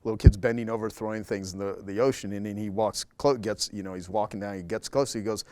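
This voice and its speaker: A man's voice: fairly low pitch, fairly even intonation, quick pace, dark tone, dry recording. A man speaking as a guest in a casual interview, talking emphatically and repeating key words to drive a point home.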